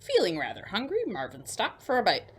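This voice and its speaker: A woman hosting a children's storytime, reading picture books aloud with emphatic delivery and hushed asides. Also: medium pitch, huge pitch swings, brisk, slight background noise. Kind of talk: picture book read-aloud for young children.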